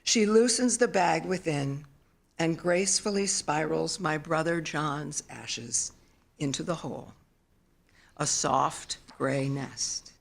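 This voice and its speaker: A mature woman reading a literary memoir at a live event in an expressive, solemn storytelling manner. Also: low-pitched, takes it slowly, creaky. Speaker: author reading own work. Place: theater stage, live reading.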